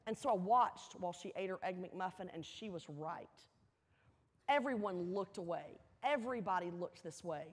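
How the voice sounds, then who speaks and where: A woman gives a motivational storytelling speech to an audience, earnest and emphatic, with dramatic pauses and repeated phrases. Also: on the high side. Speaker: motivational speaker at a university welcome event. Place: large indoor hall, addressing an audience. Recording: echoey large hall with long reverb.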